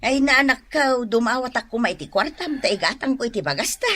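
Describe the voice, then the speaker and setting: A woman's voice: on the high side, lively intonation, fairly quick, bright, forceful tone. A woman with an Ilocano accent playing an exasperated mother who scolds and lectures in a radio drama. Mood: exasperated scolding. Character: exasperated, nagging mother of a spendthrift son.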